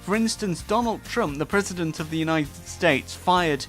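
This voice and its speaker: A man's voice: high-pitched, lively intonation, normal speed, soft background music. A man hosts an online show in a casual, rambling, self-deprecating live monologue.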